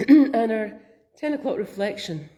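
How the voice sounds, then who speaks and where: A Scottish woman minister speaks in a gentle, conversational way, her mellow voice roughened and croaky from a summer cold. She takes her time, musing aloud like a quiet sermon. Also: fairly high pitch, lively intonation, takes it slowly. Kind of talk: reflective sermon.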